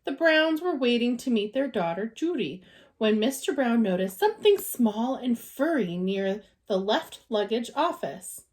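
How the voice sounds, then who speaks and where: A woman reads a children's storybook aloud like a teacher. Her voice sits a little high and moves with very animated intonation, giving the narration an expressive shape. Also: measured pace.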